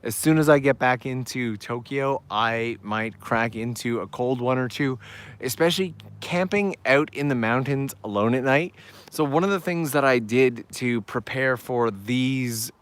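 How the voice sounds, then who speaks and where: A man hosting a live travel stream outdoors, chatting upbeat to viewers while walking, his voice going hoarse. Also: mid-range voice, unhurried. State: losing his voice. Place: outdoors on town backstreets at evening.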